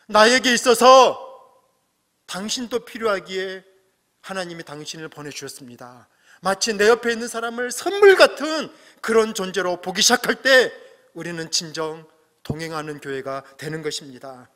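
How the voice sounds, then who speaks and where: A middle-aged man preaching a sermon as pastor, earnest, swelling to emphatic peaks and dropping to soft asides. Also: high voice, very animated, moderate pace. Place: church sanctuary. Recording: long hall reverb.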